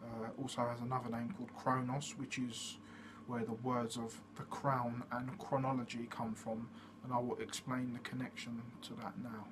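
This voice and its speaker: A man hosting his own channel, giving a casual explanatory monologue in a steady way, with frequent fillers. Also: mid-range voice, moderate pace, hardly any pauses. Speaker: channel host. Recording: noticeable background noise.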